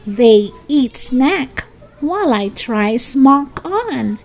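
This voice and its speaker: A woman reading a picture book aloud to young children, expressively, with sing-song, deliberate phrasing. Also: sits high, very animated, gravelly. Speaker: children's story reader.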